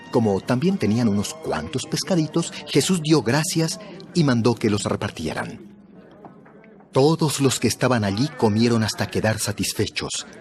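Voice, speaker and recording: A man narrating a dramatized audio Bible in a scripted storytelling style. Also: mid-range voice. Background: background music.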